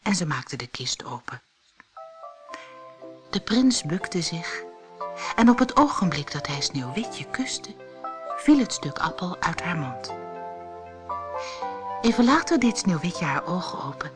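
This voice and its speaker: A woman reading a fairy tale as a storyteller, in expressive, dramatic and measured scripted narration. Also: fairly low pitch, music in the background.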